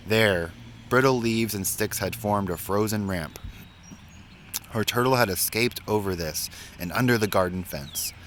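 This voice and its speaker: A man reading a children's picture book aloud in a measured, expressive storytelling way, pausing between phrases. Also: fairly low pitch, slight background noise. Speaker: story reader.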